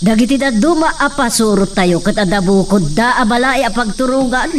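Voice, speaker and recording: A man's voice: extremely high pitch, lively intonation, brisk. A man acting a stern older parent in an amateur drama, questioning his son about a new worker. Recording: distant mic with faint outdoor noise.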